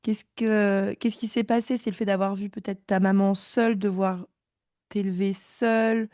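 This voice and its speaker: A woman's voice: mid-range voice, level tone, normal speed, dark tone, no room sound, clean studio sound. A woman in her thirties hosting a podcast, enthusiastic and chatty. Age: 30s. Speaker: podcast host. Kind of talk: casual interview chat.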